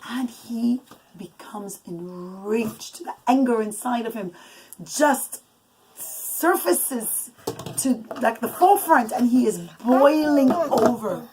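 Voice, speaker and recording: A woman with a fairly high voice improvises a bedtime story as a mother would for her children. She is emphatic and dramatic, breaking off for asides. The home recording has clear background noise and clicks. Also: expressive, takes it slowly, a little mellow, poor audio. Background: young children chattering.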